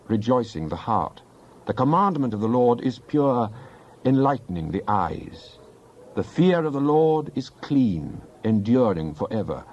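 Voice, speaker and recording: A man's voice: medium pitch, darkish tone, dull audio, slight background noise. A man giving a dramatized Bible reading in a solemn, declamatory manner. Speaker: scripture reader.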